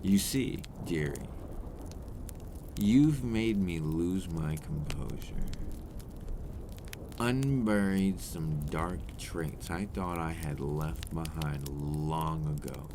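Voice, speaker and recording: A man's voice: very deep voice, slow, noisy background, heavily acted. A man playing a brooding, possessive old vampire in an ASMR roleplay, speaking formally and theatrically and dropping into whispers. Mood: menacing, possessive.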